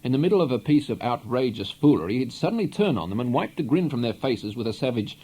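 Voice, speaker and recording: A man reading a literary novel aloud in an expressive, measured storytelling manner. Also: mid-range voice, husky, poor audio. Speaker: audiobook reader. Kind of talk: literary narration, read aloud.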